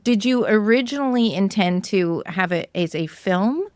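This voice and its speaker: A woman's voice: medium pitch, lively intonation, moderate pace, dry recording. A woman hosting a podcast interview in an informal, encouraging way, thinking aloud as she talks. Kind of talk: conversational interview.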